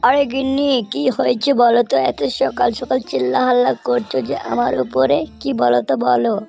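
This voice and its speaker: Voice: high voice, level tone, a little fast, vocal fry, darkish tone, soft background music. A performer voicing a hungry, scheming village husband animal in a cartoon, exclaiming and muttering to himself. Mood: fretful. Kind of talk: Bengali cartoon voice acting.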